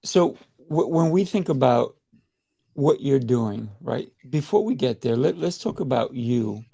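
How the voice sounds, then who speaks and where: A man's voice: mid-range voice, expressive, a little slow, creaky, mellow, husky. A man hosting a podcast interview over a video call, eager and chatty, often checking in with "right?". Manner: enthusiastic, repeats himself, frequent "right?". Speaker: podcast host. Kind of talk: casual interview.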